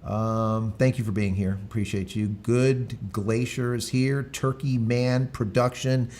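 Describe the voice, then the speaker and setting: A man's voice: mid-range voice, fairly even intonation, a little slow, darkish tone, a little hiss or hum. A man hosting a live stream, chatting casually, rambling, with many fillers and restarts. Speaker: livestream host.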